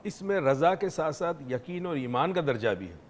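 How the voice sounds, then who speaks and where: A man with a sore throat, calmly explaining a religious idea as a studio guest. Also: a little high, long reverb tail, a little hiss or hum. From Pakistan. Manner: measured, explanatory.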